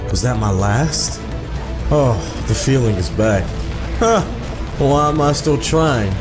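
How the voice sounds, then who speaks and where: A man hosting a casual let's play, reading visual novel text aloud and talking in an informal, slangy way. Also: mid-range voice. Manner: informal, slangy asides between read-out passages. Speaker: gaming video host. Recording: music playing behind the voice. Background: music.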